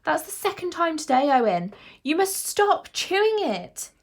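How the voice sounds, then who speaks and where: A woman's voice: fairly high pitch, moderate pace, close to an everyday voice. Woman acting a weary, stern head teacher. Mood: weary scolding. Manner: snappish, sighing, authoritative. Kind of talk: children's audiobook character voice.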